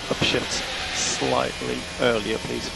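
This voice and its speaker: A man working as a race engineer, giving measured, matter-of-fact instructions over team radio and repeating the key ones. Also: medium pitch, little pitch movement, creaky, loud music behind the voice, poor audio. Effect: team radio transmission. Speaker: Formula One race engineer. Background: vehicle and engine noise.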